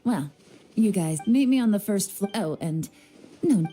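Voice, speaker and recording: A woman's voice: medium pitch, lively intonation, a little slow, dark tone, faint music behind, lightly acted. An actress voicing a cool, self-assured, teasing female operative in a video game, wry and nonchalant. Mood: brisk, assured.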